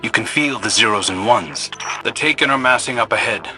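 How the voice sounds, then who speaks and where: An actor playing a wary, steady field operative in a video game, guiding in a terse, dry, conversational way. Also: male voice, fairly low pitch, a little fast, music in the background, lightly acted. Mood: reflective. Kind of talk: scripted video game dialogue.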